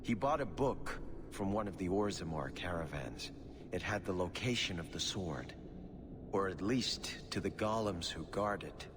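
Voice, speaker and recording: An actor playing a distressed young merchant's son in a video game, earnest and pleading. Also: male voice, deep voice, expressive, unhurried, noisy background. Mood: dismayed. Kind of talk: video game cutscene acting.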